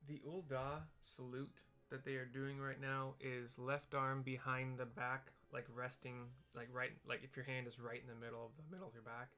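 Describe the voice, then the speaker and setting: A man casually describing video game scenes aloud, explaining step by step in a halting way. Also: medium pitch, level tone, pushed and bright. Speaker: gaming streamer narrating for visually impaired viewers.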